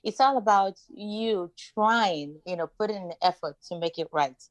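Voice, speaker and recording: A woman from Nigeria with a slight Nigerian accent, chatting frankly and emphatically as a podcast guest. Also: mid-range voice. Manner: frank and emphatic, quick to laugh. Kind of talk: casual podcast chat.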